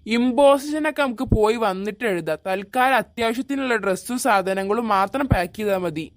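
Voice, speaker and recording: A performer voicing a calm, practical father in a comedy cartoon, giving matter-of-fact instructions. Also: medium pitch, fairly quick, no echo, clean studio sound, close to an everyday voice. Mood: practical, instructing. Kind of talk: Malayalam comedy cartoon dialogue.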